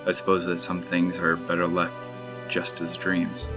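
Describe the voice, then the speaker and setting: A man plays a subdued, protective doctor in an audio drama. His low voice is hushed and almost monotone, with clear music behind it. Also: unhurried. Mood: gentle, uneasy. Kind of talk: audio screenplay drama acting.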